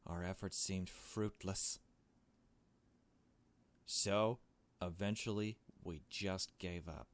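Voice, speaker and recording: Low-voiced man doing casual game commentary. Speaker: gaming video host. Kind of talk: casual playthrough commentary mixed with reading visual novel text aloud.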